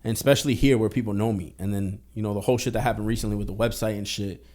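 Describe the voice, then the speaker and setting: Fast-talking young man, candid. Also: lowish voice, fairly even intonation, darkish tone. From Boston. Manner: streetwise, candid, heavy swearing. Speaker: podcast guest. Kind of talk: casual podcast conversation.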